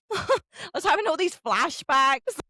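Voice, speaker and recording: A woman's voice: high voice, very animated, slow, bright, forceful tone, no echo, studio quality. A woman from Kent, chatting casually and effusively as she hosts an interview, often joking. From Kent, England. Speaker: book podcast host interviewing an author.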